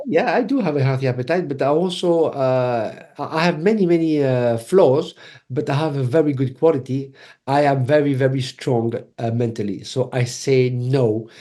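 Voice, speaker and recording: A man with a French accent speaks in a mellow, relaxed tone at an average pitch. He is a podcast guest in casual conversation, and he tells his stories in an animated way. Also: normal speed. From Cameroon.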